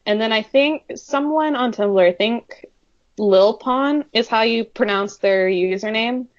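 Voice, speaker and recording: A woman's voice: unhurried, no room sound. A woman co-hosting a fan podcast, chatting enthusiastically and stretching words for emphasis. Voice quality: deep singing voice. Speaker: podcast co-host. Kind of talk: casual fan chat. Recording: dull, dry lo-fi audio.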